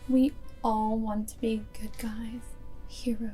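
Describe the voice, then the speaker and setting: A woman playing a guarded but secretly fond vampire girlfriend and wartime spy in a soft, whispered ASMR roleplay, speaking deliberately close to the microphone. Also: on the high side, little pitch movement, soft background music. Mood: reproachful, fond. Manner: hushed, deliberate, often dropping into whisper.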